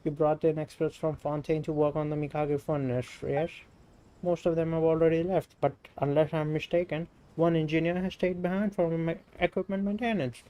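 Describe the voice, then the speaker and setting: A man's voice: on the high side, level tone, moderate pace, slight background noise, lightly acted, low-quality recording. Man reading a scolding, haughty shrine maiden. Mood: exasperated, insistent. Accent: Indian. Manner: lecturing, sharp-tongued.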